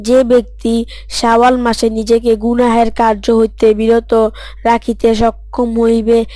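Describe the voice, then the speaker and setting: A woman's voice: a little high, a little mellow, dry recording, lightly acted. A woman voicing a pious, studious young boy who recites earnestly and formally in a cartoon story. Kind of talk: scripted cartoon story dialogue.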